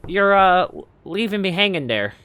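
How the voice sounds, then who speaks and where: A man playing an exasperated but fatherly police chief in a comedic audio drama, speaking in a halting, folksy way. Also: high voice, big pitch swings, a bit bright, a little hiss or hum. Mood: folksy, awkward. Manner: halting, hesitant, folksy phrasing.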